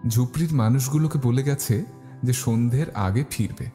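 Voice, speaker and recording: A man's voice: mid-range voice, moderate pace, warm tone, faint music behind. A man narrating a scripted horror story in the first person.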